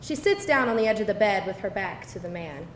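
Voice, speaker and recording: A woman reads stage directions as narrator at a live table read. Her medium-pitched voice comes from off the mic in an echoey room with faint noise. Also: animated, brisk, recorded from a distance.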